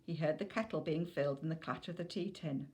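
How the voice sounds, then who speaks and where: A woman's voice: low voice, fast talker, darkish tone. A woman reading a children's novel aloud as scripted narration.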